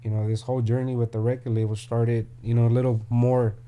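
A man's voice: mid-range voice, little pitch movement, quick pace, dark tone. Blunt middle-aged man, frank and open. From Mexico. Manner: blunt and open, many "you know" fillers and restarts. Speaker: record label CEO, guest. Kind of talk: candid interview talk. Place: office room with desk and paintings. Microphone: studio mic on a boom arm.

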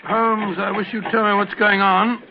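A bluff older doctor, high gravelly voice, stammering, tinny radio sound. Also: male voice, level tone, normal speed. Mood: puzzled. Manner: hesitant and fussy, stammering. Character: bluff, good-natured older male doctor, easily flustered. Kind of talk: 1940s radio acting. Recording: tinny old radio broadcast.

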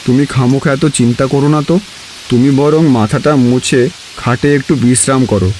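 A man's voice: medium pitch, brisk, a little mellow, slight background noise, lightly acted. A man playing a caring, worried village farmer, husband and father in a Bengali cartoon story. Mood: reassuring.

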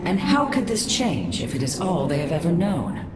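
An actress playing a proud, disapproving alien matriarch in a video game, speaking in a stern, formal way. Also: female voice, on the low side, huge pitch swings, moderate pace, heavy background noise. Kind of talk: dramatic video game cutscene acting. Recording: loud humming ambience behind.

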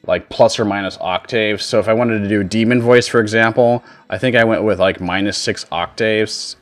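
A man in his thirties giving a casual software tutorial as a streamer, explaining things in an informal, rambling way. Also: deep voice. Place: indoor home streaming setup.

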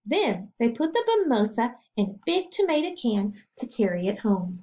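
A middle-aged woman teacher reading a children's picture book aloud at home, slow and expressive, pausing to ask her listeners questions. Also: on the high side. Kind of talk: children's storybook read-aloud. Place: home living room. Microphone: webcam screen recording. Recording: dull lo-fi webcam audio.

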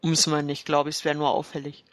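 A man's voice: on the high side, completely flat delivery, fast talker, fairly bright tone, dry recording. A man chatting casually and a bit ramblingly as he plans in a tabletop game. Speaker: player in a tabletop role-playing game. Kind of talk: casual planning talk during a game.

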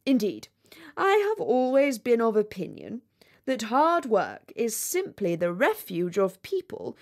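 A woman's voice: mid-range voice, animated, measured pace, lightly acted. A woman voicing a vain, haughty male firework rocket in a storybook, with a pompous, condescending manner. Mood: haughty, disdainful. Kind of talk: storybook character reading.

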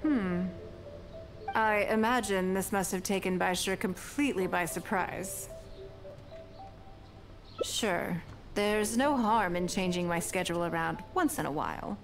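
An actress voicing a poised, wealthy female leader in a game, speaking in a measured, refined, self-assured way. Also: fairly low pitch, music in the background. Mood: composed. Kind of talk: game character dialogue.

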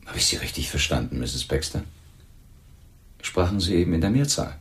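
A composed male police lieutenant with an extremely deep voice. He questions in a measured, courteous, probing way, in the style of a radio crime drama. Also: sing-song, a bit bright. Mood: calm, probing.

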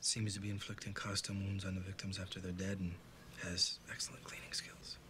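An American actor in his forties playing a calm, menacing investigator with a shady past, terse and coolly confident, in a film. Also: low voice, a little fast. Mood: quietly menacing. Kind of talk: film acting. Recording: film dialogue over noisy restaurant ambience.

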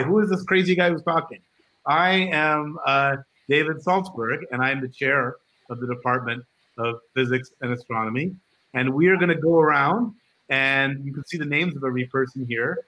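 A man's voice: fairly high pitch, a bit bright, dull audio. A middle-aged man, a department chair, casually welcoming new students on a video call. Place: home room on a video call.

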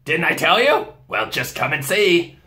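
A man voicing an excited male beaver who shouts with delight in a high, strained voice. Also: measured pace, heavily acted.

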